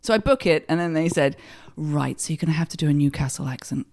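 A woman's voice: sits low, animated, quick pace, darkish tone. A woman with a southern English accent, chatting casually as a podcast guest and telling stories with dry, wry humor. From England. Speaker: actor guest. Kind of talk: casual chat.

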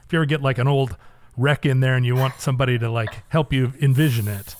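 A man's voice: medium pitch, fairly even intonation, fast, dry recording. A man talking casually as a quiz show guest, circling back over the starts of his phrases. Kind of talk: casual chat.

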